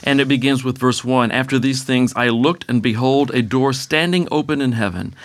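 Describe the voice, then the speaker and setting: A man's voice: medium pitch, moderate pace, dry recording. A man co-hosting a Bible teaching show, chatting in a friendly way and reading scripture aloud. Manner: friendly, reads scripture aloud, frequent affirmations. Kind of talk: conversational Bible study chat.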